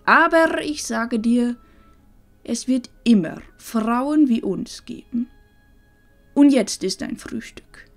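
A woman playing a loving, wise old grandmother in a fantasy audio drama, speaking solemnly and tenderly with breathy phrase endings. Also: a little high, slow pace, soft background music. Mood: encouraging.

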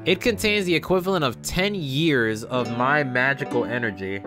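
A man's voice: mid-range voice, moderate pace. A young adult man reading visual novel text aloud as a gaming host, stumbling now and then and adding casual reactions. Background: game music.

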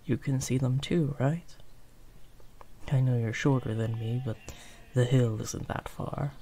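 A man plays a kindly werewolf in an audio roleplay, protective and soothing. The voice is mellow and creaky, with slow, soft, hesitant delivery that drops close to a whisper. There is some background noise behind it. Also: mid-range voice, steady tone, natural voice. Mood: tender, encouraging. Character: gentle, protective male werewolf comforting a lost sheep. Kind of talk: improvised comfort roleplay, soft and intimate.

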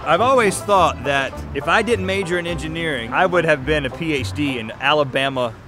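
A man's voice: a little high, a little fast. A man in his thirties co-hosting a road trip vlog, talking from inside a moving truck cab with a dry, joking manner. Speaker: vlog co-host. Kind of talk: casual travel vlog banter and voice-over. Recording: music playing under the voice. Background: music bed.

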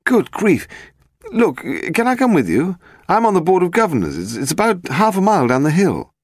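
Man playing a bluff older gentleman, higher-pitched. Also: big pitch swings, moderate pace, slightly rough, dry recording, studio quality, exaggerated voice. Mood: alarmed, indignant. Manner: hearty, exclamatory. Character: bluff, pompous, well-to-do older male historian. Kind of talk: audiobook character voice.

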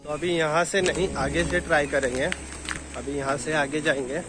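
A man's voice: a little high, little pitch movement, moderate pace. A young man, narrating a casual walking vlog outdoors on a rocky seashore, filling his talk with "matlab". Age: young adult. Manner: chatty, leans on filler "matlab". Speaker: vlogger. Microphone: phone camera held at arm's length. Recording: loud music bed under voice.

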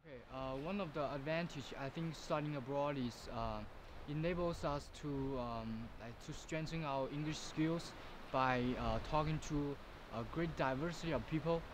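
A man's voice: fairly high pitch, moderate pace, hardly any pauses. A teenage boy from China, an international student, speaking hesitantly with many fillers in an outdoor interview. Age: teens. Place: outdoors on a lawn by woods. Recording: clear outdoor background noise.

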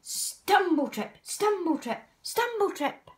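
A woman reading a children's picture book aloud in an expressive, theatrical way, drawing out words and chanting repeated phrases. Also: very high-pitched. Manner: theatrical, drawn-out delivery with chanted repetition and acted sound effects. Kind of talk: expressive read-aloud story narration for young children.

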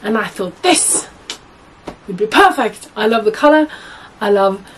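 A young woman with a husky voice, hoarse from a cold, chatting to camera as a sewing vlogger in a small room at home, rambling and correcting herself often. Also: medium pitch, expressive, measured pace, a bit bright, a little hiss or hum, rough recording. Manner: chatty, rambling, many fillers and self-corrections. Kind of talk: casual chat to camera.

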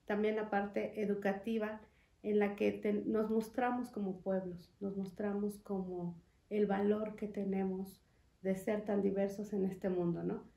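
A woman's voice: mid-range voice, little pitch movement, creaky, dark tone. Earnest young woman in an echoey studio. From Mexico, Chiapas. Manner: earnest, measured, frequent "eh" fillers. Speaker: interview guest, foundation representative. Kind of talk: conversational interview. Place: studio room with wood panels and glass. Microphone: desk mic on stand. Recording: echoey room.

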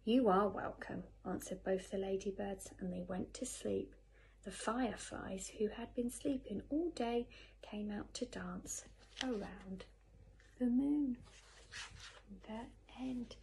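A woman's voice: mid-range voice, slow pace, vocal fry, a little hiss or hum, rough recording. A woman, likely in her thirties, telling a picture-book story expressively to young children and putting questions to them. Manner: animated, asks the listener questions. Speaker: teacher reading a picture book. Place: living room sofa at home. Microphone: phone camera a short distance away.

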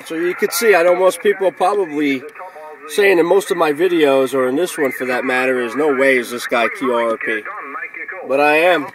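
A man with a slightly high voice works as a ham radio operator while filming himself. He switches between radio call phrasing and casual narration, speaking inside a car into a handheld camera. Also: moderate pace, crowd noise, poor audio. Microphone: handheld camera or phone.

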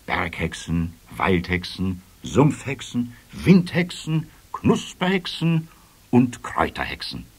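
A man's voice: on the low side, slightly rough. A man narrating a scripted children's fairy tale as the storyteller of a radio play.

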